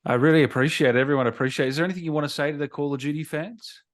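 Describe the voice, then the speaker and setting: A man's voice: on the high side, racing. A man interviewing a guest in a casual, laid-back chat, slangy and encouraging. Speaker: interviewer, gaming show host.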